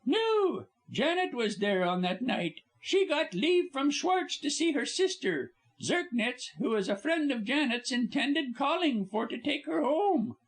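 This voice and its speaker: A man voicing a cheerful, chatty young actress in a high, babbling voice. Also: big pitch swings, measured pace, hardly any pauses, full character voice, rough recording. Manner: bubbly, babbling, high-pitched.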